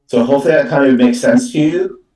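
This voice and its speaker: A man giving a live spiritual card reading as a psychic medium, speaking in halting, repetitive phrases. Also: medium pitch, mellow, distant mic. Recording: roomy, echoey, away from the mic.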